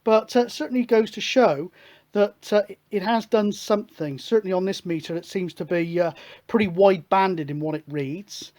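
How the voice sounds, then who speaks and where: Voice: mid-range voice, normal speed, darkish tone. A hobbyist radio show host giving an informal hands-on technical demonstration, chatting casually and thinking aloud.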